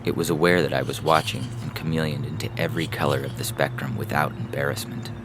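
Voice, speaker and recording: A man giving a solemn, ominous literary reading of a surreal story in a deliberate manner. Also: a bass voice, level tone, heavy background noise. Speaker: story reader. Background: loud music.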